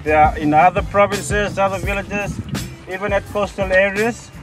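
A man working as a tour guide, calmly explaining village customs outdoors by a river. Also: sits high, moderate pace. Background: loud music.

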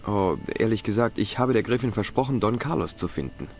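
A man's voice: on the low side, animated, fairly quick, a little hiss or hum. An actor playing an easygoing, curious young male adventurer in a video game, wry and light-hearted. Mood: earnest. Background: game music.

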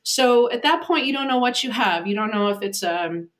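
Woman, fifties, american, raised voice, lively storytelling, acts out quotes, video call from home office. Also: fairly high pitch, moderate pace. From United States. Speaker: animal communicator, podcast guest. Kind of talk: storytelling in an interview. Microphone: video call, webcam.

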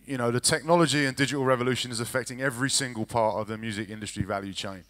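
A man's voice: mid-range voice, steady tone, a bit bright. Man talking business on a conference stage. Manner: measured, many uh fillers and restarts. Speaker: record label digital executive on panel. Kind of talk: conference panel talk. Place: large conference hall stage. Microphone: handheld mic.

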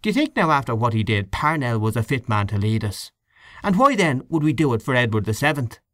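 A man's voice: medium pitch, very animated, a little fast, fairly bright tone, dry recording, studio quality. A man voicing an argumentative committee man who reasons his point in an audiobook reading.

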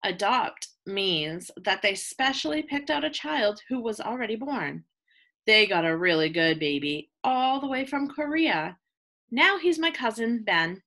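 A woman reading a children's picture book aloud like a teacher, with expressive delivery aimed at young listeners. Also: on the high side, a little slow. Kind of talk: children's read-aloud, scripted narration.

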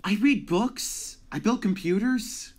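Girl doing a jittery teen superhero boy for a fandub, stumbles over words, defensive, really animated pitch. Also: slow pace. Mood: earnest. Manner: hesitant, stammering. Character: nervous, earnest teenage boy superhero, stammering and defensive. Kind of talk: amateur fan dub of a film scene.

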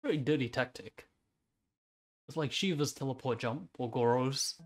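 A man gives casual gameplay commentary as a streamer. His voice is middling in pitch and his remarks are offhand, with game music clearly heard behind him. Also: normal speed.

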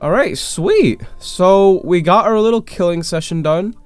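A sick, slightly congested man giving excitable, rambling gameplay commentary with quick, frustrated outbursts. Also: sits high, no room sound. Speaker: gaming YouTuber. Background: faint game music.

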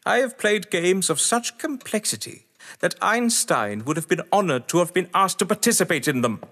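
A man's voice: high voice, sing-song, normal speed, a bit bright, exaggerated voice. A man acting in an audio drama as a haughty, games-obsessed writer, eloquent, grandiose and sardonic. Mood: haughty, boastful. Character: haughty, theatrical games-obsessed male writer, defensive under suspicion. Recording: long echoing hall reverb.

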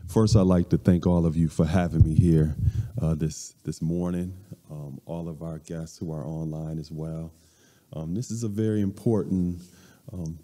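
A man in his forties giving a conversational, measured talk at a church service, with frequent ums, recorded from a stand mic in a large hall. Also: sits low, warm tone. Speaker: guest speaker. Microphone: stand mic at a few steps, far camera. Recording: long hall reverb.

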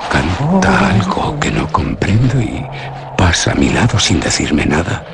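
An actor playing an anguished, feverish lost man in a horror radio play, with a Castilian Spanish accent and a theatrical, halting, solemn delivery. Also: deep voice, huge pitch swings, creaky, full character voice. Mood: haunted, solemn. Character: anguished, feverish lost man narrating his own haunting. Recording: noisy radio drama recording.

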